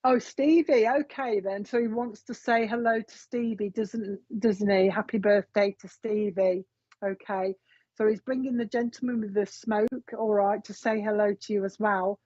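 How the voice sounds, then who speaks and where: A woman's voice: medium pitch, steady tone, normal speed, a little mellow, no echo. A woman giving a psychic reading, chatting casually and relaying messages with lots of fillers and asides. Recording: dull, dry lo-fi sound.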